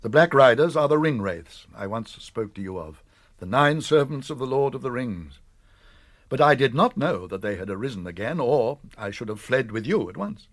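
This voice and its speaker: A wise old wizard in a British radio drama, speaking with grave authority. His delivery is measured and weighty, yet kindly, and his pitch sits in an ordinary middle range for a man. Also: expressive, no room sound. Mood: solemn, grave.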